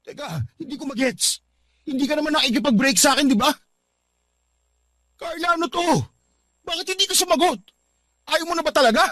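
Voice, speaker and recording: A man's voice: very high voice, a little fast, long pauses, fairly bright tone, no room sound. A man playing a young boyfriend in a radio drama, earnest and pleading with his partner.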